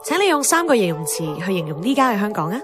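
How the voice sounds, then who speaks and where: An earnest, idealistic radio host acted by a woman with a Hong Kong Cantonese accent. She speaks reflectively in an animated, mid-pitched voice over clear background music. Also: fast talker, lightly acted. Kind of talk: scripted radio monologue.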